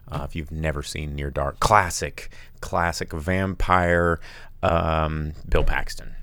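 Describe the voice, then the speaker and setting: A man hosting a casual chat show, rambling and animated, with sudden loud bursts in a big dad voice. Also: extremely low pitch, slow pace, no echo. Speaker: podcast host and bar owner.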